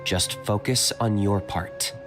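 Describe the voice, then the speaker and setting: A cool young warrior from an anime game. He speaks in a fairly low voice, matter-of-fact and blunt, with soft music underneath. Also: steady tone, takes it slowly. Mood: calm, instructive. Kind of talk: anime video game voice acting.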